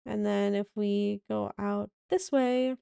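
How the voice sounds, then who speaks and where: A young woman with a raspy voice, casually narrating a tour of a house she built in a game, rambling with many fillers. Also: mid-range voice, steady tone, slow, mellow, no echo, clean studio sound.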